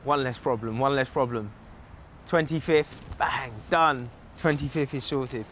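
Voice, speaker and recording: A young man born in Turkey talks in a casual, cheerful way, laughing easily as he bargains and plans. His voice sits at an ordinary middle pitch. A faint hiss runs under the recording. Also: a little slow, fairly bright tone. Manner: chatty and upbeat, laughs easily, haggles cheerfully. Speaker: groom planning his wedding. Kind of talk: casual reality documentary talk.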